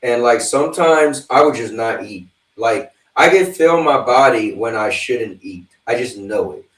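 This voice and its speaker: A man's voice: medium pitch, animated, measured pace, a bit bright, distant mic. A man of fifty hosting a livestream, chatting loosely and rambling as he talks straight to his viewers. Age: 50s. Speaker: livestream host. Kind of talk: casual chat with a livestream audience. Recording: roomy sound, away from the mic.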